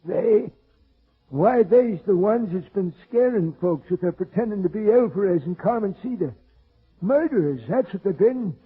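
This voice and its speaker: An actor playing a gullible, folksy old man who stammers in a cracked, raspy voice, from a tinny old radio drama. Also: high voice, lively intonation, normal speed, full character voice. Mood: excited, gleeful. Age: older. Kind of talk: old-time radio character acting.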